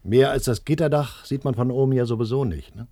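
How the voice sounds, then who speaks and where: A man's voice: mid-range voice, fairly quick, warm tone, no echo, close to an everyday voice. A man playing a determined, rational and militant adult son in a radio play, explaining things insistently and matter-of-factly. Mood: insistent, dismissive.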